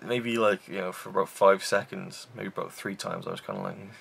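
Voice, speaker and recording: Low gravelly man reviewing a film. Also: huge pitch swings, brisk, fairly bright tone. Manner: rambling, enthusiastic, many fillers and restarts. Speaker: film reviewer, show host. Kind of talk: casual film review chat.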